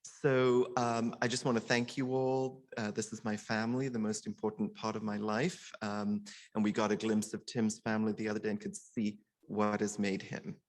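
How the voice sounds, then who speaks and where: A man's voice: fairly low pitch, flat delivery, moderate pace. A middle-aged man, a surgeon, giving a personal, informal conference speech in an earnest manner, sometimes stammering and repeating words.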